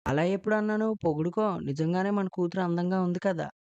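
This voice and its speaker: Muffled, lower-pitched cartoon husband, voiced by a woman, hurried, good-natured. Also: a little mellow, clear, resonant tone, natural voice. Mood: impatient, affectionate. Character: hurried, mildly impatient father and husband.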